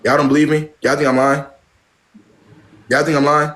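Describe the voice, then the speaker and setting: A man's voice: fairly high pitch, flat delivery, moderate pace, a bit bright. Blunt, profane man lecturing his viewers. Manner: blunt, repetitive, preachy and profane. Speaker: live stream host giving dating advice. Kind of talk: casual live stream advice.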